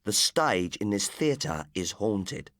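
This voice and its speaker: A British man voicing a haggard has-been rock and roll guitarist in a storybook, earnest, quiet and solemn. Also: lowish voice, sing-song, slow pace, no echo, studio quality, exaggerated voice.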